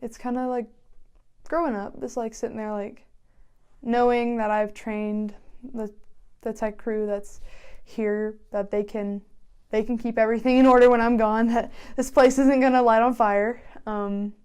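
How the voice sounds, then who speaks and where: A woman's voice: fairly high pitch, fairly even intonation, a little slow, darkish tone, smooth. A woman in her late teens, a student stage manager, talking casually in an interview in a small office.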